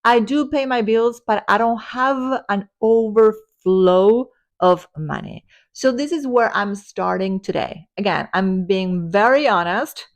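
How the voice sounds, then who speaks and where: A woman's voice: medium pitch, slow pace. A woman in her forties talking earnestly and upbeat in a personal spiritual vlog, now and then laughing lightly. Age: 40s. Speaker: spiritual self-development vlogger.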